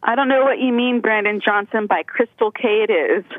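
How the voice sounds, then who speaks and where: A woman's voice: a little high, normal speed, dry recording. A woman from California calling in to a show, chatty and rambling, giggling as she talks over a phone line. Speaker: caller. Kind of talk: casual phone-in chat. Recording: tinny phone line, dry.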